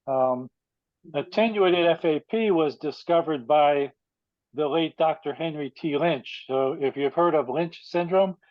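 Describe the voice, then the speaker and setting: A man in his fifties giving a measured, plainspoken personal talk to a support group, pausing often with fillers. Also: a little high, no room sound, muffled recording. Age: 50s. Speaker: guest speaker.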